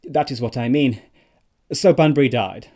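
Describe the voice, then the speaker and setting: An actor playing a flippant, evasive young gentleman in a stage comedy, glib and easily flustered. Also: mid-range voice, normal speed, natural voice. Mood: flustered bluffing. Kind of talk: theatrical stage comedy acting.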